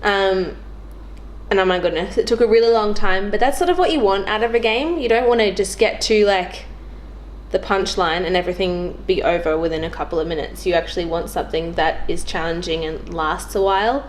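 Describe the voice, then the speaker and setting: A woman's voice: medium pitch, a little fast. A young woman chatting casually about video games as a guest on a show. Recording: clearly noisy background.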